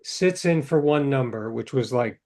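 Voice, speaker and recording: A middle-aged man, a novelist guest in an interview, reflecting in a relaxed, storytelling way with frequent restarts. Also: medium pitch, unhurried.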